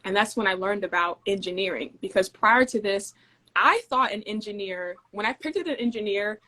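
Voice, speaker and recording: A young adult woman from Denmark, a guest in a casual interview, explaining her engineering work in a measured, friendly way. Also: medium pitch, pushed and bright.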